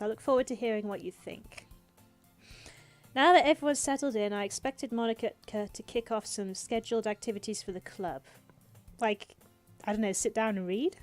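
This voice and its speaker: A woman streamer with a sarcastic, theatrical manner, reading out game dialogue and commenting on it. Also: on the high side. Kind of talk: livestream game playthrough commentary, reading dialogue aloud with reactions.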